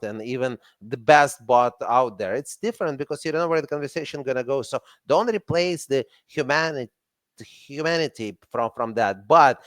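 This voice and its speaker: A man with a Russian accent, a company CEO talking as a podcast guest in an animated way, often repeating words as he warms up. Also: medium pitch, no room sound. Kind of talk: casual podcast conversation.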